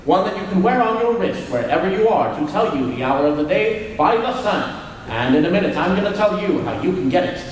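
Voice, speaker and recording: A distant recording in a very reverberant hall, faintly noisy, of a man as an eager old-time radio pitchman. The voice is fairly high, friendly and selling. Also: expressive, a little fast. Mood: enthusiastic. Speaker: announcer. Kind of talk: 1940s radio advertisement performed live. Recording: echoey hall, distant mic, faint noise.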